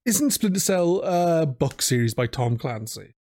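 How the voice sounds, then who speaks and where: Higher-pitched man chatting casually, dry sound. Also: sing-song, a little slow, darkish tone, no room sound. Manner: silly, crude joking. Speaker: podcast guest or co-host. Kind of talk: casual chat.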